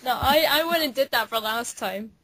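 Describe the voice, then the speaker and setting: A woman's voice: a little high, moderate pace. A woman chatting casually with fellow voice actors on an online call. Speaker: cast member of a fan voice-acting project. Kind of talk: casual group chat. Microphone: voice call microphone. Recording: faint noise on online voice call.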